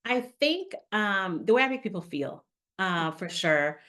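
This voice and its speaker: A woman's voice: medium pitch, big pitch swings, measured pace, a bit bright. A woman from Trinidad, a makeup artist guest, talking earnestly and telling a story. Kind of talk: interview conversation.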